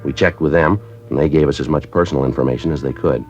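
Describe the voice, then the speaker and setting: A no-nonsense police detective from an old radio crime drama, rattling off facts in a very deep, brisk, clipped monotone. The broadcast sounds muffled and dated, with faint music under the narration. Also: male voice. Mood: flat. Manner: clipped, flat, businesslike delivery. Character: terse, matter-of-fact male police detective narrator. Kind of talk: 1950s radio drama acting.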